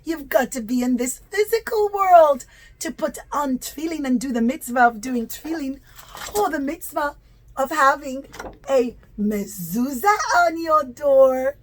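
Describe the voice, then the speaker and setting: A woman's voice: sits high, animated, measured pace, a little hiss or hum, low-quality recording. A woman telling a story to young children in simple, repetitive, emphatic phrases. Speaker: children's puppet show storyteller.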